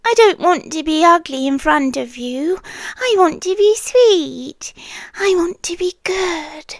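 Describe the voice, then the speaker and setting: Slow, breathy British yandere girlfriend, acted by a woman. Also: very high voice, lively intonation, dry recording, exaggerated voice. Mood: needy, possessive. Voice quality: breathy, often dropping to a whisper. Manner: slow, deliberate, coaxing and commanding. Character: possessive, obsessive yandere girlfriend landlady, sweetly controlling. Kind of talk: intimate ASMR roleplay.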